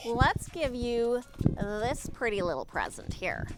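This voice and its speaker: A woman's voice: a little high, big pitch swings, slow pace, heavy background noise. A woman bantering casually as co-host of a show. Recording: loud music behind the talk.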